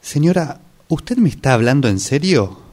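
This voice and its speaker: Baffled male clerk with lively intonation acted. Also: mid-range voice, normal speed, lightly acted. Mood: baffled, firm. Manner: formal, incredulous. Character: baffled, firm male blood bank clerk. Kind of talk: amateur radio play acting.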